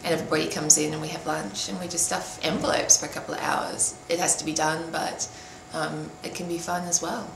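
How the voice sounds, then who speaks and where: A low-voiced Australian woman answers interview questions informally, her pitch staying fairly flat. She is recorded in a roomy space with clear background noise. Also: fairly quick, hardly any pauses.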